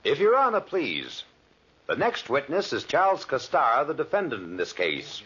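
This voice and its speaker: Formal old-radio trial lawyer, husky, pitch swoops all over, muffled. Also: male voice, wildly swooping pitch, normal speed, fairly bright tone, full character voice. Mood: formal, coaxing. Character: formal, coaxing courtroom lawyer questioning a witness. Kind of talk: 1940s radio drama acting. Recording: muffled 1940s radio recording.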